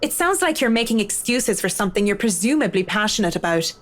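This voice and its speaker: A woman's voice: medium pitch, animated, fast, natural voice. A woman voicing a blunt, dry-witted designer. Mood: cutting. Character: blunt, self-assured woman interior designer. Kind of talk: video game voice acting.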